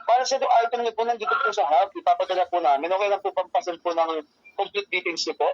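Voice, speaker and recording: A Filipino man with a distinctly high voice is speaking over a dull, dry phone connection. He works in courier customer service and explains himself in a deferential, repetitive way, with frequent polite forms of address. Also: quick pace, no echo. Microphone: telephone. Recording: muffled lo-fi phone call, dry.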